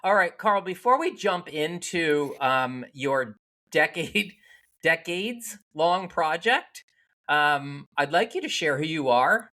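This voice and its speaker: A man from Connecticut hosting a podcast, opening the show and chatting with a guest over a remote call, animated and quick to laugh. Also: on the high side. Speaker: podcast host. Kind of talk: casual interview chat.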